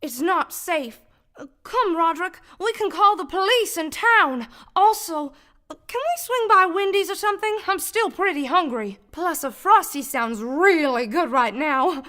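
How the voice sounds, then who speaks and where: A woman's voice: extremely high pitch, animated, measured pace, sharp tone, full character voice. A woman playing a ditzy, dramatic mystery heroine in a comic old-time radio melodrama, gushing as she speaks. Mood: frightened. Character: dramatic, ditzy, always-hungry 1940s-style mystery heroine.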